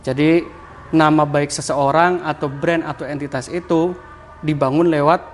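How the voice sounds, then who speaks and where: A man with a broad Javanese ngapak accent and a fairly high voice talks through his ideas in a relaxed podcast chat. He keeps tagging his points with easy fillers. A faint room ambience sits behind him. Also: moderate pace, a little hiss or hum. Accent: Javanese Banyumas (ngapak). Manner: explains with many "kayak gitu" tags, laughs easily. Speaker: podcast guest, communication mentor. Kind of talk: casual podcast interview.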